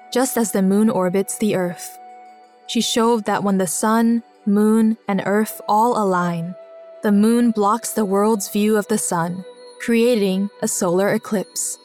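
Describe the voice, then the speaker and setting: A woman narrates a scripted children's story in a measured, clearly enunciated way. Her pitch sits in an ordinary middle range for a woman, with soft music underneath. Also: fairly even intonation. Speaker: narrator of a children's biography podcast.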